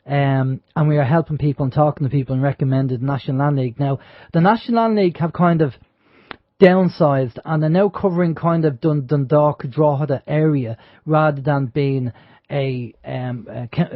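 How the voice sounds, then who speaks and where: A man's voice: on the high side, moderate pace, no room sound. Rambling Irish man hosting talk radio. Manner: rambling, many fillers and restarts. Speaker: radio show host. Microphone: studio microphone. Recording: dull, lo-fi internet radio audio.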